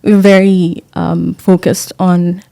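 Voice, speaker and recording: A woman's voice: on the low side, little pitch movement, slow, creaky, warm tone. A young woman from Sudan, an entrepreneur on a panel, telling her story in a composed, fluent way.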